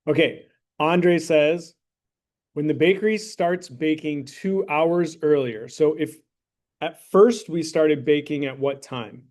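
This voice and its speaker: Joking high school math teacher. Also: male voice, slow, darkish tone. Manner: joking, conversational, checks in with "right?" and "okay?". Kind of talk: classroom lesson with a mock sponsor plug. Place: classroom.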